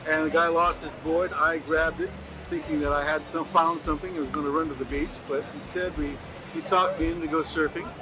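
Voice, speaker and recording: An older man at an outdoor surf festival, telling a memory haltingly, with many pauses and fillers. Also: a little high, fairly even intonation, over music, rough recording. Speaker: interviewee. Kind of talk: casual storytelling.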